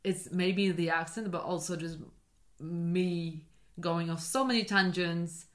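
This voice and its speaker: A young woman with a Polish accent that leans partly British talks in a loose, casual way. She drifts from one tangent to another in a lowish voice as she co-hosts a chat show. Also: steady tone, slow pace. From Poland. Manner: scattered, jumps between tangents. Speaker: podcast co-host.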